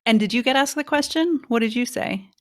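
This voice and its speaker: A woman's voice: a little high, brisk. Woman hosting, asking short questions. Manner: asks brief, direct questions. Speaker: podcast host. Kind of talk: interview questions.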